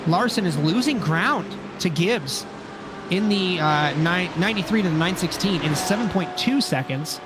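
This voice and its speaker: A man with a raspy voice from a sinus infection, commentating a sim race in a relaxed, chatty way that often corrects itself. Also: high voice, normal speed, noisy background. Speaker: lead commentator. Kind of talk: sim racing play-by-play commentary.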